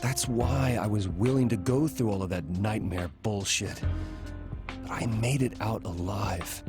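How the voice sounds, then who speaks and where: A man's voice: on the low side, measured pace, music in the background, lightly acted. An actor voicing a hesitant, stammering young man who turns earnest, in a game cutscene. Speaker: game character.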